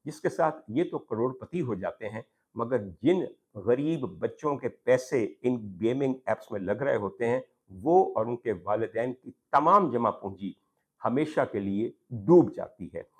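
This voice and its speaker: A man with a Pakistani accent hosting a talk programme, addressing viewers formally in an opinion monologue with rhetorical questions and emphatic repetition. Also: medium pitch. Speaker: talk show host. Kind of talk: opinion monologue to camera on social issues.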